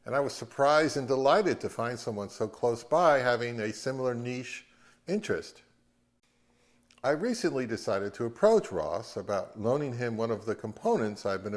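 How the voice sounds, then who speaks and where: Man hosting an audio gear review. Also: medium pitch. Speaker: host of an audio review channel. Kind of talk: scripted narration and product review.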